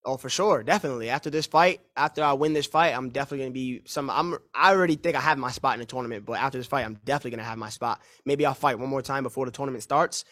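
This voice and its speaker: A man in his twenties, a fighter answering press questions on a call, confident and repeating himself in fast run-on answers. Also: mid-range voice, a bit bright. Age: 20s. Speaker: mixed martial arts fighter.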